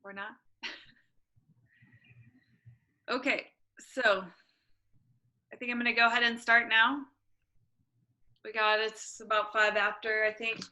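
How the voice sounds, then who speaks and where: A woman hosting an online workshop over a video call, chatty and relaxed with casual fillers. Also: fairly high pitch, takes it slowly, pauses often. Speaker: workshop host.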